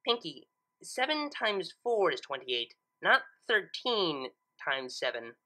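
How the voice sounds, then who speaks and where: A woman's voice: fairly low pitch, very animated, slow, bright, forceful tone, heavily acted. A woman voicing an earnest, studious young female cartoon pony who is exacting, questioning and easily flustered. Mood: baffled.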